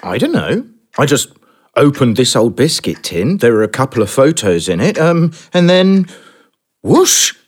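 Flustered time traveller. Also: male voice, medium pitch, sing-song, unhurried, breathy edge. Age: young adult. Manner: awkward, apologetic, flustered. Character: bewildered modern young man lost in the past. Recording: music score under the voice.

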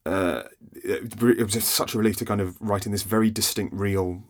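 A lower-voiced man chatting as a writer on a podcast. He rambles and restarts often as he talks. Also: steady tone, moderate pace. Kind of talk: casual podcast chat.

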